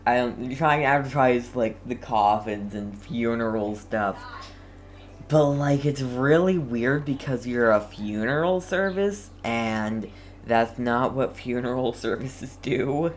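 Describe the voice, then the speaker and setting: Very low-pitched, unhurried woman streaming. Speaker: game streamer. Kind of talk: casual live game commentary.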